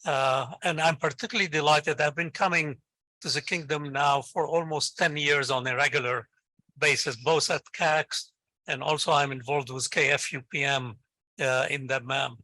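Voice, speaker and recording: An older man from Lebanon speaks English with a Lebanese accent as a native Arabic speaker. His voice is bright and a little high, captured dry and close. He tells his story with enthusiasm, like a professor encouraging people. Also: steady tone, moderate pace, no room sound, low-quality recording. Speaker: invited guest, professor and scientist. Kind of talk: conversational interview answer, storytelling.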